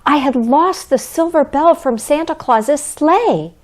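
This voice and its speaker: Woman playing Santa's kindly wife, storybook reading for children, gentle, expressive, very unhurried pace, lightly raised pitch. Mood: gentle storytelling. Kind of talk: children's storybook reading, addressing young listeners.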